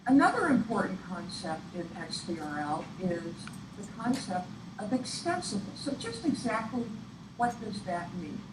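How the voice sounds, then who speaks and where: A woman's voice: medium pitch, very animated, moderate pace. Echoey, distant woman giving an instructional presentation. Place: echoey room, picked up from afar. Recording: echoey, distant room recording with clear noise. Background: clear room noise.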